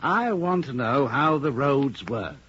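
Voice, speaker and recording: A man's voice: mid-range voice, very animated, slow, a bit bright. A man acting a polite, curious government minister on a visit, courteous and inquisitive, in 1950s radio drama style. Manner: courteous, inquisitive, easily amazed. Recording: muffled 1950 radio broadcast, faint noise.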